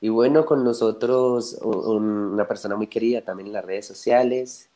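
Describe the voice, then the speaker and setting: A man's voice: fairly low pitch, steady tone, moderate pace, warm tone. A man hosting an online panel, politely introducing and thanking his guests in short turns. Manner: polite, brief. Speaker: host, moderator.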